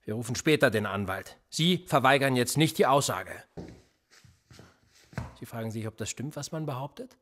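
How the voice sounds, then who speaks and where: An actor playing a cold, sardonic police interrogator in a radio play, calm and mocking with a menacing edge and a husky voice. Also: male voice, medium pitch, normal speed, long pauses, a little hiss or hum, close to an everyday voice. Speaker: police officer.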